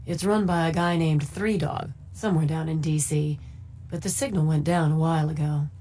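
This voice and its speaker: A woman's voice: low voice, fairly even intonation, normal speed, dark tone. An actress playing a frank, easygoing saloon woman in a video game, talking in a casual, matter-of-fact way. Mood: casual, explaining. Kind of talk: video game character dialogue.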